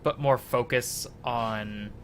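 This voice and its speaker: Bright-voiced man rambling over gameplay. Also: mid-range voice, fairly even intonation, slight background noise. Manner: halting, self-conscious, mildly sweary. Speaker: host of a gaming video series. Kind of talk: casual gameplay commentary, rambling.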